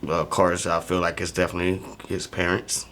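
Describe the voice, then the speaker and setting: A man with a deep American voice co-hosts a casual music reaction. He speaks in short, somewhat hesitant phrases. There is faint background noise around his voice. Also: level tone, brisk, a bit bright. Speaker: music video reaction co-host.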